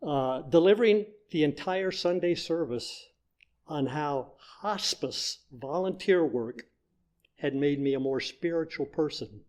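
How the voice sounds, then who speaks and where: An older man giving a prepared personal talk to a church congregation, telling his story in a measured way as a fellow member. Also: a little high, expressive, darkish tone.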